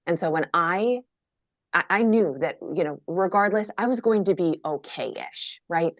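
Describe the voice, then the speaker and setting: Low-voiced wry woman on a video call. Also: a little slow, dry recording, highs cut off. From Minnesota. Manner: candid, wry, self-deprecating humor. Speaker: guest author. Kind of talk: conversational interview answer.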